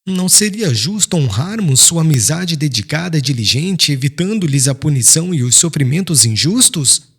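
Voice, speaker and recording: Casting: male reader as devout young man, tender and pleading, higher voice with wide swoops, audiobook drama. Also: lively intonation, moderate pace, slightly rough, exaggerated voice. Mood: earnest pleading. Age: young adult. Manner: gentle, earnest, formal pleading.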